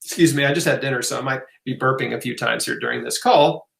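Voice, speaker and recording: A man's voice: medium pitch, fast, creaky, a bit bright. A man hosting a casual live Q&A, answering questions off the cuff in a conversational way with emphatic asides. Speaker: host of a live health Q&A. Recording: roomy, echoing room sound.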